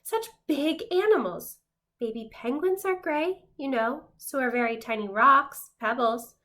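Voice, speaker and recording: Lady doing a tired fed-up crayon from a storybook, high bright voice, dramatic pleading. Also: animated, unhurried. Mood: exasperated. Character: overworked, worn-out, exasperated gray crayon.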